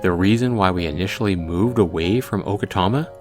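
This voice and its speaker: Man as an earnest, low-voiced teenage son. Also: brisk, soft background music, natural voice. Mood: confused. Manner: hesitant, earnest. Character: earnest, self-doubting teenage son telling his story. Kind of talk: visual novel character voice.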